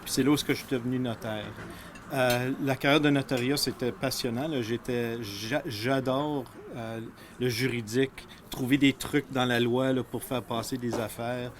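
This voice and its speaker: A man's voice: medium pitch, fairly even intonation, moderate pace, slight background noise. A man with a Canadian French accent, answering interview questions in a hesitant way with many fillers. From Ottawa, Canada. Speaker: political candidate.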